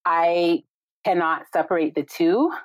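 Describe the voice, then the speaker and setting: Low-voiced woman on a call. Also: unhurried, no room sound. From Jamaica. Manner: warm, reflective, frequent "right?" and "like". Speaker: guest, yoga and meditation teacher. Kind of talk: casual conversation on a video call. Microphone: video call.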